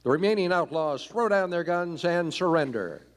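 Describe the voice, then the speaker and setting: A man narrates a Western radio play in dramatic, scripted style. His voice sits a bit high and carries through a reverberant hall. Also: expressive, moderate pace. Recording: echoey hall, live stage performance.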